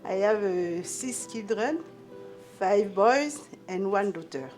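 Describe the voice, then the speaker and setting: Middle aged west african lady, ivorian french accent, native french speaker, halting english, searches for words, soft dark tone, really slow. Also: mid-range voice, animated, soft background music. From Ivory Coast. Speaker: documentary interviewee, newcomer immigrant. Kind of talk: personal account in an interview.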